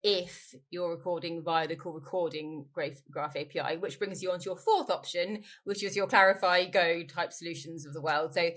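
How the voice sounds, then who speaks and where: A woman's voice: fairly low pitch, fairly even intonation, moderate pace, few pauses, fairly bright tone. A woman being interviewed as a company expert, explaining things informally in a conversational way and rephrasing as she goes. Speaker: interviewee, company growth director.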